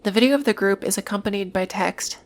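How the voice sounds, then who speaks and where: A woman's voice: medium pitch, fast talker. A woman narrating a scripted summary of a horror series, with informal, casual asides. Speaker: video essayist narrator.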